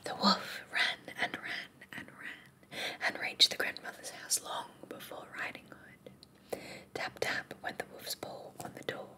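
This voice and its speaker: A woman whispering softly as she reads a bedtime storybook aloud in an ASMR style. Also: a little slow. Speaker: reader.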